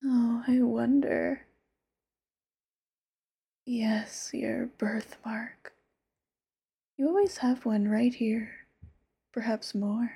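A woman's voice: fairly high pitch, heavily acted. A woman voicing an obsessive, possessive immortal lover in a whispered audio roleplay, sweetly menacing. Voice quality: soft breathy whisper. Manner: intimate, sweetly menacing, sudden flares.

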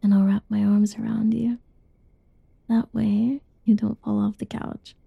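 A young woman whispering softly in a teasing, cuddly ASMR roleplay, with giggles between lines. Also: mid-range voice, level tone, a little slow, gravelly, slightly rough, no room sound, phone-quality sound. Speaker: roleplay narrator.

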